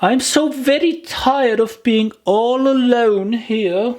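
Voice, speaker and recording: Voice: mid-range voice, slow pace, darkish tone, pitched above the performer's own voice, close to an everyday voice. A storybook narrator voicing a forlorn, earnest little girl, plaintive and self-pitying in a children's tale.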